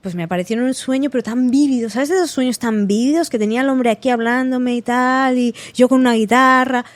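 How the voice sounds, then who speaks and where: A lively woman from Catalonia with a Spanish accent, speaking in a fairly high voice. She chats as a podcast guest, acting out quoted dialogue with restarts and quick asides. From Catalonia, Spain. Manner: lively storyteller, acts out quotes, frequent restarts and laughter. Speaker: guest singer. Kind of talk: casual interview chat.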